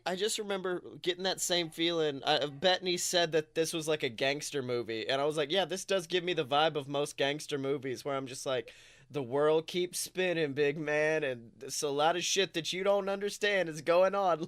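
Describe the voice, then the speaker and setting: A man with a notably high voice talks casually as a podcast co-host. He tells things in an animated, profane way, quoting his own reactions. The sound is very dry, with next to no room echo. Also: moderate pace. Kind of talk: casual film discussion chat.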